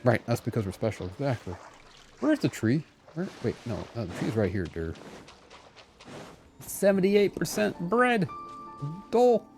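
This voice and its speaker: A man's voice: mid-range voice, huge pitch swings, measured pace, long pauses, a little mellow. A man chatting casually and playfully with viewers as he streams a game, often exclaiming, with game music behind him. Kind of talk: casual live gameplay chat with viewers.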